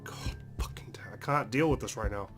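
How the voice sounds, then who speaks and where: A man doing a Let's Play, dramatically reading visual novel narration in a serious, measured storytelling manner. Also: mid-range voice, in a whisper. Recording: loud music behind the voice. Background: game soundtrack music.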